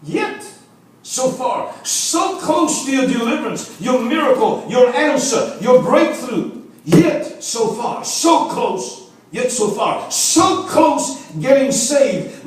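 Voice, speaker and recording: A man's voice: very high-pitched, big pitch swings, slow pace. A man preaching a revival sermon in English, his first language Afrikaans, with rhythmic repetition that builds to emphatic calls and joking asides to the congregation. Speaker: visiting preacher. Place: church sanctuary. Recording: echoey church, from a distance.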